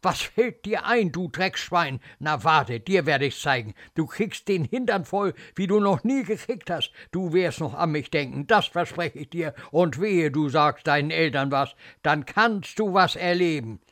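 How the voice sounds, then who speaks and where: A man's voice: fairly high pitch, animated, measured pace, hardly any pauses, a bit bright. A man voicing a strict, angry female hospital nurse who scolds and threatens in a dramatic story reading. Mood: furious, threatening. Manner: scolding, commanding.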